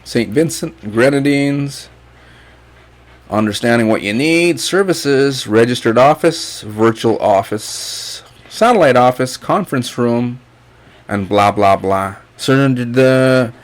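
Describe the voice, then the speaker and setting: Man from guyana, casual screen-share rant, blunt and profane, middle-pitched voice, mocking tone. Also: takes it slowly. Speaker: online income youtuber.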